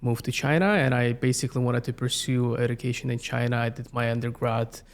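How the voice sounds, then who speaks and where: Guest in his 30s, Yakut native speaker from Russia, gravelly, monotone delivery, halting. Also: mid-range voice. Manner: halting, many fillers and restarts. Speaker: guest, community planner. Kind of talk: casual interview chat.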